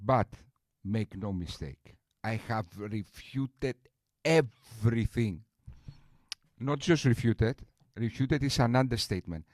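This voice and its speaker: A man's voice: medium pitch, slow, darkish tone, dry recording. Boastful rambling man on a stream. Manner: boastful, rambling with many restarts. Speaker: streamer host. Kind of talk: casual live-stream talk.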